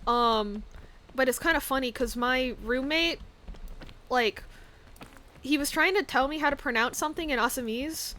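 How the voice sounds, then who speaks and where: A woman's voice: sits high, moderate pace, pauses often, bright, forceful tone. A woman streaming a game, rambling casually with many fillers and giggles, with a slight lisp. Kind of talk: casual chat while gaming.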